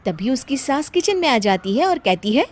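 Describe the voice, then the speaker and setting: A woman's voice: sits high, big pitch swings, normal speed. A woman narrator reading a scripted story for a cartoon.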